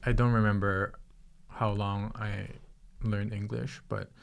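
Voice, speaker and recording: A man's voice: on the low side, flat delivery, slow pace, vocal fry. A young man in his twenties from the Philippines with a light Filipino accent, chatting casually as co-host of a talk show. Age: 20s. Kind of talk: casual chat.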